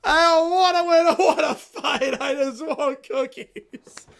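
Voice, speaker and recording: Woman playing a little princess, young girl, greedy, pouty, whiny, high. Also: slow pace, creaky, exaggerated voice.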